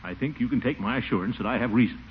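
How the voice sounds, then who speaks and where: An actor playing a pompous, easily flustered, suspicious middle-aged city commissioner in a 1940s radio comedy, exclaiming and blustering. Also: male voice, medium pitch, racing, lightly acted. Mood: guarded, touchy. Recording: muffled, hissy 1940s radio recording.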